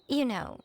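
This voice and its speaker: An actress voicing a teasing, self-assured shrine priestess in a video game, speaking with coy poise. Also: female voice, a little mellow.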